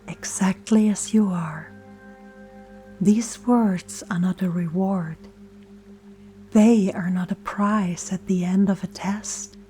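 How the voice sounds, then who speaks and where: Female meditation guide: gentle, near-whispered scripted delivery, unhurried pacing with deliberate pauses, soft music underneath. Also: mid-range voice. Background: soft meditation music and singing bowl tones.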